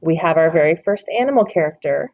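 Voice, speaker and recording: Expressive woman reading to children. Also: deep voice, a little fast, lo-fi sound. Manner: encouraging, asks children questions. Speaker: teacher reading aloud. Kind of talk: children's read-aloud.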